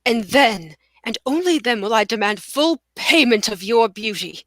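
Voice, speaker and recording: Woman playing a florid, high-voiced romantic male poet. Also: lively intonation, a bit bright, no room sound. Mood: inspired, grandiose. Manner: grandiose, dramatic pauses. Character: florid, self-absorbed romantic male poet wooing a wife. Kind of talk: play table read.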